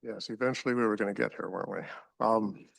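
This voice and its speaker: Man, board chair, rather low voice, dry sound, chatty and folksy. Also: fairly even intonation, fairly quick, creaky, fairly bright tone, dry recording. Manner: folksy, rambling, fond of analogies. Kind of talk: chairing a public meeting.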